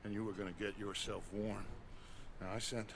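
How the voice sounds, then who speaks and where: An actor playing a charismatic American outlaw gang leader in a video game, speaking in deliberate, weighty, oratorical phrases. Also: male voice, on the low side, level tone, creaky, a little hiss or hum. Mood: low, reassuring. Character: charismatic male outlaw gang leader rallying his people. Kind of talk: dramatic video game acting.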